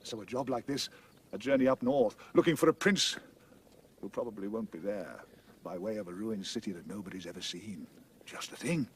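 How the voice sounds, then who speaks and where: A man playing a lugubrious, fatalistic marsh-dwelling creature in an audio drama, speaking in a doleful, deliberate manner. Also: on the low side, slight background noise, close to an everyday voice. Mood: gloomy resolve. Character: gloomy, lugubrious marsh-wiggle guide, dourly fatalistic grown male creature.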